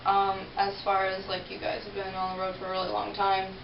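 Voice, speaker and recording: A woman's voice: medium pitch, monotone, fairly quick. A woman interviewing a musician, mostly giving short, encouraging replies and asking plain questions. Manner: brief, encouraging backchannels. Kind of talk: casual interview. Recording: echoey, dull, distant, noisy.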